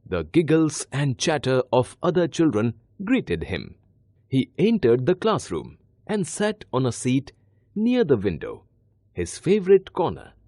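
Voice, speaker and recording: A male narrator reads a children's story with a soft, mellow tone and a very animated melody. His delivery is measured and expressive, every phrase laid out clearly for young listeners, and the sound is close and dry. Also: mid-range voice, no echo.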